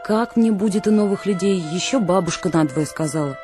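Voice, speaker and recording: A woman's voice: fairly low pitch, brisk, music in the background, close to an everyday voice. An actress playing a proud, frank young village woman, content and loving, in a radio play. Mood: proud, gentle.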